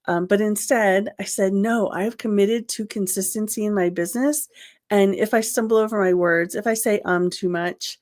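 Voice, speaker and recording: A woman's voice: mid-range voice, fairly even intonation, normal speed, darkish tone. A middle-aged woman hosting a solo podcast and coaching small business owners, speaking earnestly and encouragingly with a stuffy, nasal voice from a cold and plenty of ums and restarts.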